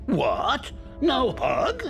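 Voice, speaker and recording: A man playing a manic, mischievous clown supervillain in an animated video game, theatrical and mocking. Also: sits high, drawn-out words, vocal fry, full character voice. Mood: mock hurt. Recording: loud music and effects behind.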